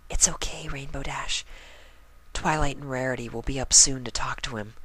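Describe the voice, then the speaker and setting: A man voicing a gentle, timid, caring female pony with a hushed, tender delivery in a cartoon fan fiction reading. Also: medium pitch, steady tone, moderate pace, husky, pitched above the performer's own voice, poor audio. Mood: soft reassurance.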